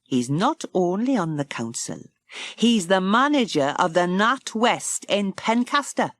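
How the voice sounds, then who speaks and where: A woman's voice: medium pitch, big pitch swings, a little slow, no echo. A woman voicing a confident, helpful lady doctor and village organiser in a children's storybook.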